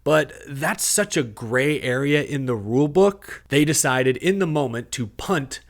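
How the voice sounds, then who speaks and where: An American man delivers a scripted sports news recap as a show host. His pitch sits in the middle for a man. He explains points clearly and tells events with emphasis. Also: lively intonation, unhurried.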